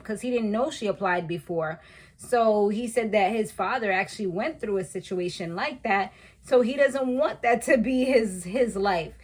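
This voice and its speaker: A woman in her thirties casually recapping a reality show, chatty and slangy, laughing at her own asides. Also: fairly high pitch. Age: 30s.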